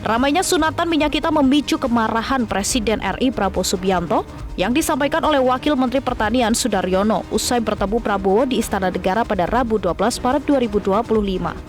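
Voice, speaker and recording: A woman reads a scripted investigative news report. Her voice sits fairly high and her phrasing is formal and emphatic, laid over a faint bed of music. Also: animated, fast talker. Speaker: news documentary narrator. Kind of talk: scripted narration.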